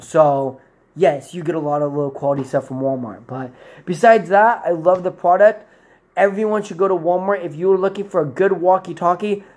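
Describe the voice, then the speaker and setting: A man's voice: sits high, a little mellow. A man in his twenties giving a rambling, informal product review to camera in a room at home. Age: 20s. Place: small indoor room at home.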